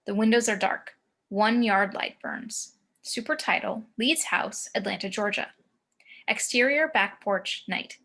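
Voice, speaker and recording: A woman's voice: medium pitch, measured pace, pushed and bright. A woman reading scene descriptions and action lines as the narrator of a screenplay table read.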